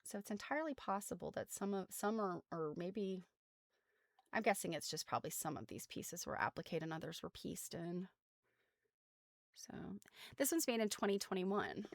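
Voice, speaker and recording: A woman's voice: mid-range voice, lively intonation, moderate pace, vocal fry, no echo, studio quality. An American woman hosting a casual craft livestream, explaining things in an informal, rambling way full of trailing asides. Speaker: host of a craft show. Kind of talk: casual livestream chat about quilts.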